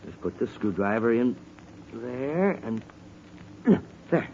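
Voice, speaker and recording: A man's voice: mid-range voice, expressive, slow pace, a little mellow. An actor playing an exasperated, short-tempered middle-aged father, flustered and sputtering in vintage radio sitcom style. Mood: grumpy, impatient. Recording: dull, noisy old radio recording.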